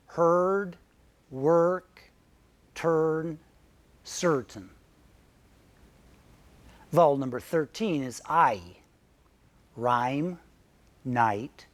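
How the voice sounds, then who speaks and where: A man with a Midwestern American accent from Michigan narrates an English lesson. He speaks at a slow, deliberate pace and sets out each example word with care. His voice sits in a middle pitch range. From Michigan, United States. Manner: slow, careful, clearly enunciated word examples. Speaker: narrator and English pronunciation teacher. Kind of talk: scripted educational narration.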